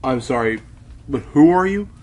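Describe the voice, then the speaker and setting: A man's voice: medium pitch, lively intonation, moderate pace, a little mellow, slight background noise, lightly acted. A man voicing an eager, earnest young pony news reporter in an amateur radio play. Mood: hurt, insistent. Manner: earnest, emphatic. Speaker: voice actor in an audio drama. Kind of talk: fan radio play acting.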